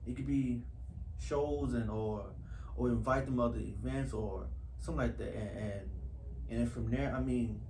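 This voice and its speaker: A man's voice: mid-range voice, steady tone, moderate pace, a little mellow. A man speaking casually and earnestly in an interview, repeating phrases for emphasis. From Houston, US. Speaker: actor being interviewed. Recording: echoey room with faint background noise.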